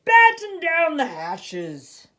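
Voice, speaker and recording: A woman's voice: very high voice, wildly swooping pitch, drawn-out words, pushed and bright, voice pushed up, exaggerated voice. A woman voicing a bossy, hearty male pirate captain from a storybook, hollering out in a raised voice. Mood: commanding. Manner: bellowing, hollering commands. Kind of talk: storybook character voice.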